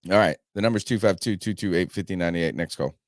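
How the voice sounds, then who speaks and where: A man's voice: deep voice, quick pace, no room sound, clean studio sound. A man hosting a casual sports podcast, rambling through his points and swearing freely. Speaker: podcast host.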